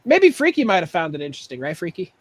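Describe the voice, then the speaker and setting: A man's voice: high-pitched, huge pitch swings, dry recording. A man co-hosting a casual podcast, rambling and joking with his friends. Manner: rambling, joking, quick to laugh. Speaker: podcast co-host. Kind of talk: casual chat.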